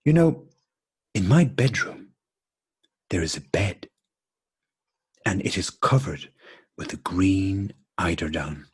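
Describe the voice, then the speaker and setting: Casting: male actor for bemused, very proper husband in absurdist radio drama. Husky, unhurried delivery, formal and repetitive. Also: low voice, very animated, exaggerated voice. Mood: bemused, deliberate. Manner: formal, deliberate, repetitive politeness. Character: courteous, bemused married man piecing together a coincidence. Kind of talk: absurdist stage dialogue played as radio drama.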